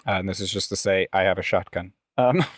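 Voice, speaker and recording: A man's voice: deep voice, quick pace, dry recording, studio quality. A man playing a tabletop role-playing game, casually describing what his character does.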